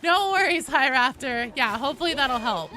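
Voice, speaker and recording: A woman's voice: high voice, lively intonation, normal speed, pushed and bright. A woman game streamer chatting casually and playfully with her live viewers in an informal way. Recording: clear background noise behind voice.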